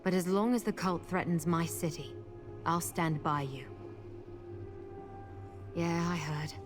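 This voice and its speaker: Low-voiced, resolute city protector. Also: female voice, steady tone, takes it slowly. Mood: earnest, determined. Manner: earnest, plain-spoken, determined. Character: resolute female protector of a city, loyal, earnest. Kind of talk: fantasy game character acting. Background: game music.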